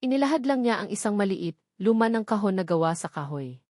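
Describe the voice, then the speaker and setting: A woman's voice: medium pitch, very animated, a little fast, mellow, no room sound, studio quality. A woman narrating a romantic drama story in a scripted, dramatic storytelling style.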